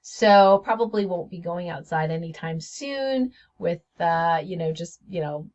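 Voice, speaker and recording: A woman hosts her own spiritual wellness video, talking in a loose, chatty way and often laughing between thoughts. Her voice sits fairly low for a woman. The recording sounds dull and lo-fi. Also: unhurried, darkish tone. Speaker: host of a spiritual health video series. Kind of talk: casual solo video talk. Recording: dull lo-fi audio.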